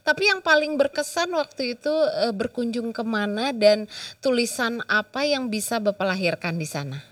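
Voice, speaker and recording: A woman hosting an interview, asking questions and keeping up steady brief hums of agreement. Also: on the high side, moderate pace. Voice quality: chatty, with many brief backchannel hums. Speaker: interviewer host. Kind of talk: casual interview chat.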